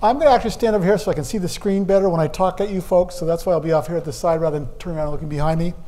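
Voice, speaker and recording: High-voiced, mellow older man presenting data. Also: fairly even intonation, very fast. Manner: explanatory, methodical. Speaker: demographer presenting. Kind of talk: public presentation. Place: city meeting room.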